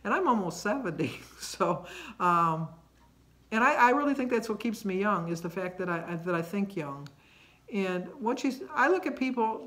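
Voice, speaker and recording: A woman's voice: lowish voice, fairly bright tone. A woman of sixty-seven, talking casually for a vlog in a chatty, rambling, self-deprecating way. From United States. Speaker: vlogger. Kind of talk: casual personal vlog talk about daily life.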